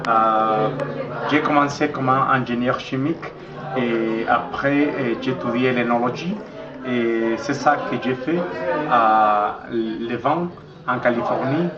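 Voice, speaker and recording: A middle-aged man from Mexico with a Mexican Spanish accent, speaking French slowly and hesitantly as the guest in a casual interview, with many pauses and fillers. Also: medium pitch. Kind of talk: casual interview in a learned language. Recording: dull echoey recording with crowd noise.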